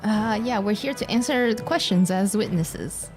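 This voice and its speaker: A woman's voice: medium pitch, animated, moderate pace. A woman game streamer chatting casually, giggly and hesitant as she thinks aloud. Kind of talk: casual live gameplay commentary. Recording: game music under stream voice. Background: game music.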